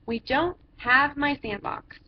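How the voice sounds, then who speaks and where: A woman's voice: high-pitched, slow pace. A woman voicing an eager, insistent little boy in a picture book, earnest and emphatic. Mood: stubborn. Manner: emphatic, earnest, stretched-out words. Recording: dull lo-fi sound with background noise.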